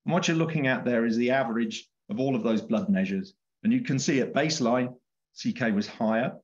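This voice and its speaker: A man's voice: medium pitch, fairly quick. A middle-aged man presenting research findings on a video call, explaining step by step. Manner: explanatory, with fillers and restarts. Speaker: chief scientific officer. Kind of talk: webinar presentation. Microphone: webcam on a video call. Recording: dull lo-fi call audio.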